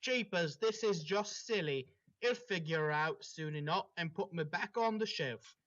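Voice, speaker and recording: Man reading as a high-voiced huffy cat girl. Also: unhurried, a bit bright, muffled recording. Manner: indignant, folksy exclamations. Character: anxious, huffy female cat-girl raisin mascot.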